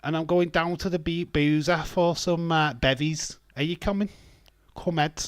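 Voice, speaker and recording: A cheery, brash Liverpool lad with a high, loud, sing-song put-on Scouse accent, as a Yorkshire man performs him. He calls his mates down to the pub with a lilting bounce. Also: fairly even intonation, normal speed, dry recording, clean studio sound, lightly acted.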